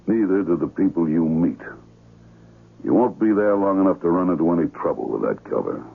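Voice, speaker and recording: An actor playing a cool, businesslike handler of contract killers in a 1970s radio drama, formal and authoritative. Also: male voice, sits low, animated, brisk, vocal fry, slightly rough, exaggerated voice. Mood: firm, instructing. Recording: muffled, phone-like vintage radio.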